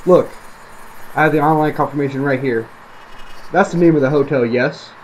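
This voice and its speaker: A frustrated young father read by a man mutters his complaints in a story reading. His voice sits fairly high for a man, over faint recording noise. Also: normal speed, lightly acted, rough recording. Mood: insistent, annoyed. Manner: muttering, exasperated. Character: frustrated young father on a ruined family vacation.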